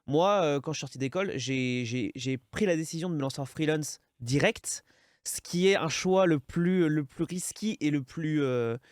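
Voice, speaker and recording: A man's voice: fairly high pitch, normal speed, dry recording, clean studio sound. A man in his thirties with a French accent, hosting a casual interview and rambling with many restarts. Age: 30s. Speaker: podcast host.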